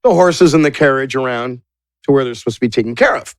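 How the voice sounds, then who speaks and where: A man's voice: mid-range voice, fast talker, dry recording, clean studio sound. A man narrating a tabletop roleplaying game as game master in a casual, playful, improvised way.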